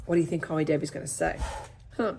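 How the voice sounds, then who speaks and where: Lower-pitched woman teacher reading aloud. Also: quick pace, gravelly, background noise. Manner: chatty, questioning, checks in with listeners. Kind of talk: expressive read-aloud with asides to children.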